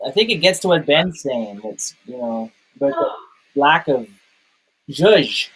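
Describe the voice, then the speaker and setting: A man's voice: mid-range voice, expressive, measured pace, fairly bright tone, breathy edge, rough recording. A man talking casually as a film review co-host, explaining his points and often checking in with listeners. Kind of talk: casual film analysis chat.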